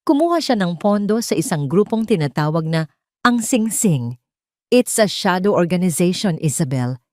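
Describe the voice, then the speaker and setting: A grave lawyer, played by a woman narrator speaks in a low, dry voice. He is steady, serious and protective, as in an audio drama. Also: normal speed, no echo. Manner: serious, switches between Tagalog and English.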